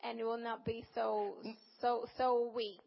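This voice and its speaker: Flat, gravelly woman interpreting a sermon. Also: on the high side, slow pace. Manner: translates phrase by phrase, with restarts and self-corrections. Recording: long reverb, dull sound.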